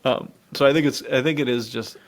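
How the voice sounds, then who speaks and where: A man's voice: mid-range voice, a little fast, slight background noise. A man co-hosting a podcast in casual, enthusiastic, slangy chat, often saying "dude.". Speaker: podcast co-host.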